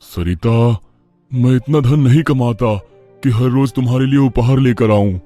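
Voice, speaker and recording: A man's voice: mid-range voice, a little slow, dark tone, soft background music, close to an everyday voice. A man voicing a humble, good-natured young working husband in a storybook cartoon, modest and respectful. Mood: gently protesting.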